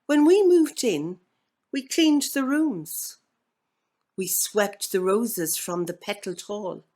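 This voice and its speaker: An Irish woman performs verse in a theatrical, deliberate way. Her voice sits at an average female pitch, and she takes her time over each line with markedly slow phrasing. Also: lively intonation, a little mellow. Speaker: actor reading a poem. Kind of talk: dramatic poetry reading.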